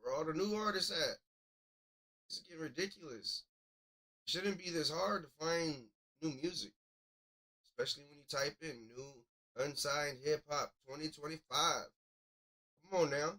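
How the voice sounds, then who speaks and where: Man, live stream host, bright forceful voice, casual, slangy, blunt. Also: fairly high pitch, moderate pace, pauses often, rough recording.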